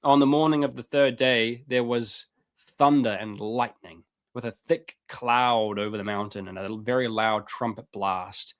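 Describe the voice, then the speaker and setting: A man on a live stream reading Bible passages aloud and commenting on them, with casual asides and his voice going hoarse. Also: steady tone, unhurried, a bit bright. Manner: reads scripture aloud then comments, rises in pitch when fervent; casual asides, repeats words, clears throat. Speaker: streamer teaching on scripture.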